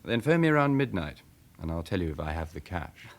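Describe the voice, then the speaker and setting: Smooth sardonic english villain, low voice that swoops about, radio play. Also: male voice, big pitch swings, fairly quick. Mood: evasive, reassuring. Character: suave, sardonic male crook, guarded but obliging. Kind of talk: British radio drama acting.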